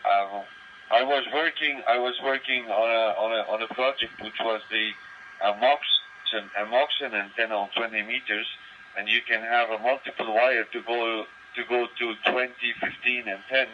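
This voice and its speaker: A man's voice: medium pitch, fairly even intonation, normal speed, slight background noise. A man chatting casually over amateur radio, hesitating and repeating his phrases. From Lebanon. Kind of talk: casual ham radio chat. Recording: dull lo-fi digital radio transmission.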